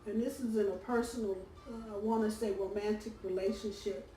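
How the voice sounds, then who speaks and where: A hoarse woman giving a casual weekly tarot reading, chatty and full of ums and uhs. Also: medium pitch, steady tone, fairly quick, soft background music. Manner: chatty, warm-hearted, lots of ums and uhs. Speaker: tarot reader. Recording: roomy, distant from the mic, faint background hum.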